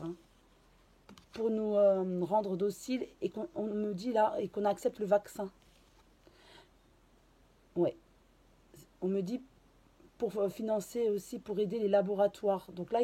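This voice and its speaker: Hoarse woman with a cold. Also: medium pitch, mellow. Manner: hesitant, many fillers and restarts. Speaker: card reader and channeler. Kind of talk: casual tarot reading to camera.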